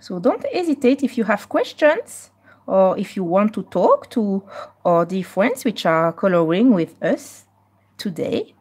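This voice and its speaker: A Belgian woman hosting a live coloring stream, chatting casually and moving between French and slightly halting English. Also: medium pitch, very animated, darkish tone, slightly rough.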